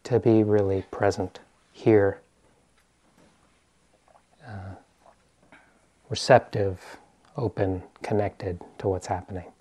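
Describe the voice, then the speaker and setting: Male meditation teacher, American, lowish voice, informal talk to a group, hesitant and searching for words. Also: steady tone, takes it slowly, pauses often, mellow, breathy edge. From US East Coast.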